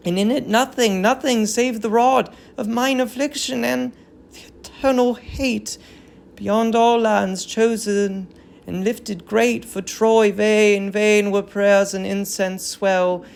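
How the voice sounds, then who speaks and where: A woman's voice: mid-range voice, gravelly, darkish tone. A woman playing a grieving, dignified old queen in a Greek tragedy reading, with solemn, declamatory verse delivery. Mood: bleak despair. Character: grieving old queen mourning her dead grandchild, bitter, dignified.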